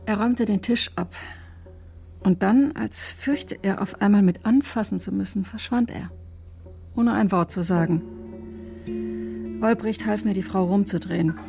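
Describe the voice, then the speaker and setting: A no-nonsense emergency doctor in a radio drama. She recounts things in a dry, clipped, wry way at a middle pitch, with faint music underneath. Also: a little slow, gravelly, long pauses, mellow. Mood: dry, defensive. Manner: matter-of-fact, clipped, wry. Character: brusque, sardonic woman emergency doctor giving testimony. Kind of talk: German radio drama, dry first-person narration.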